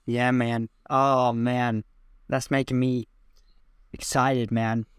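A man's voice: medium pitch, flat delivery, slow pace, smooth, no room sound, studio quality, lightly acted. Man playing a reckless, dim criminal dude. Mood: cocky, excitable. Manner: slangy, ending phrases with "man". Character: excitable, reckless drug-loving criminal dude, suspicious and dim. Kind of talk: improvised comedy sketch acting.

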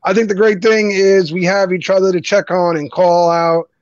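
A man's voice: high voice, flat delivery, a little mellow, no room sound, highs cut off. Joking New Jersey man on a call. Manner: joking, laughs often, quick back-and-forth. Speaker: co-host. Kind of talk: casual group chat on a video stream.

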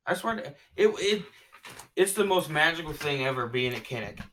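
A man hosting a casual sports podcast, talking excitedly and gushing about his predictions, often restarting his sentences. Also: fairly high pitch, normal speed, distant mic. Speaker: podcast host.

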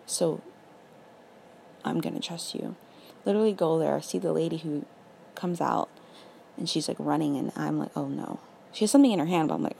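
A raspy young woman hosting a casual faith podcast, earnest and encouraging, full of "like" and "literally". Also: deep voice, warm tone, slight background noise. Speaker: podcast host.

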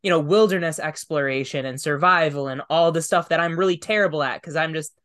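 A man's voice: fairly high pitch, brisk, fairly bright tone. A man hosting a podcast in casual interview chat, speaking in a conversational, affirming way. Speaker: podcast host.

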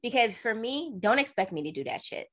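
A young adult woman hosting a casual chat, talking bluntly and acting out quoted remarks in an expressive voice. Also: a little high, fairly quick. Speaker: podcast host.

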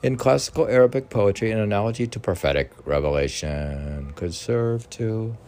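A man with a low, creaky voice reads poetry and commentary in an informal, halting way. He goes slowly, repeating words and muttering asides. There is slight background noise on the recording. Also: lively intonation. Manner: halting, stumbling and repeating words while reading. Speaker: podcast host reading poetry and commentary aloud.

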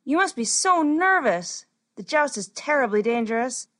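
A woman voicing a shy, chatty girl who is an apprentice healer in a storybook, gentle and earnest. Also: high voice, big pitch swings, moderate pace. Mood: curious, concerned.

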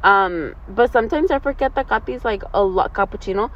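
A woman's voice: medium pitch, brisk. A woman in her early twenties chatting casually with a friend in a slangy, rambling, giggly way. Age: 20s, twenty-three. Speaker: vlog host. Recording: dull lo-fi, outdoor traffic noise.

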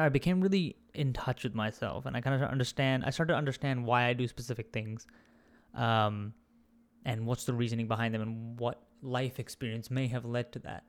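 A young man from India whose accent blends British, Australian and American sounds. He talks as a podcast guest in a candid, reflective way, often stopping to find the right words. His pitch sits in the middle for a man. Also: normal speed. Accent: mixed British, Australian and American. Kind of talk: casual conversational interview.

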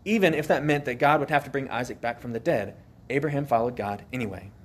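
A man's voice: mid-range voice, fast talker. A man preaching an earnest, emphatic sermon, asking the listeners questions as he goes.

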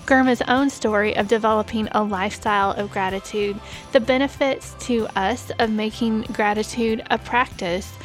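A woman podcast host who reads a smooth, measured introduction and then asks interview questions. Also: on the high side.

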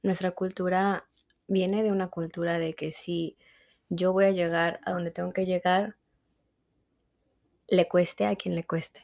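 A woman with a Mexican accent, speaking on the low side of her range. As an interview guest she tells her story with reflection and animation, mixing personal memories with her views. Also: flat delivery, a little slow, vocal fry, pauses often, a little mellow. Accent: Mexican Spanish. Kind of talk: conversational interview.

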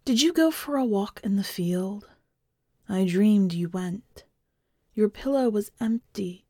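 Woman doing a puzzled fond husband in a story, understated, slow, dry recording. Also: mid-range voice, lively intonation, warm tone, no room sound. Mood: wary, questioning. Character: plain-spoken, affectionate, puzzled husband in a story.

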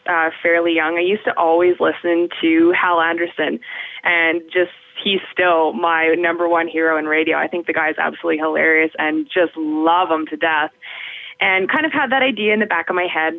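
A young woman in radio with a deeper voice, chatting casually as an interview guest and laughing often. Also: steady tone, normal speed, dry recording. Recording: tinny phone line.

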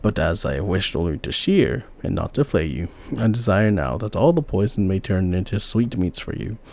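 A man with a gravelly voice voicing a stern old father, a sorcerer, proclaiming his judgment. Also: sits low, expressive, moderate pace, a little mellow. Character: powerful, vengeful but forgiving old father sorcerer.

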